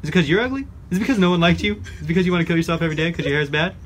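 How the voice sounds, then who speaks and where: A man playing a brash, pushy, insulting pitchman in a comic radio advertisement, loud and badgering. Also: rapid-fire, a little hiss or hum, lightly acted. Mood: pushy, excitable.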